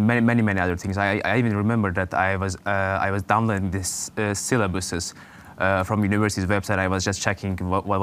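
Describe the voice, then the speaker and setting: Young Turkish-accented man, low voice. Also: a bit bright, slight background noise. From Turkey. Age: 20s. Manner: hesitant, many fillers and restarts. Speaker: guest. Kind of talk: casual interview answers.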